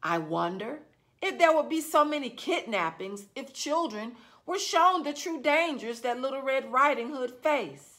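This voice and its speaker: A woman's voice: high voice, a bit bright, voice pushed up. A woman reading as a stern earnest schoolteacher. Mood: musing lecturing. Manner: earnest, lecturing. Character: stern, judgmental sixth-grade woman teacher.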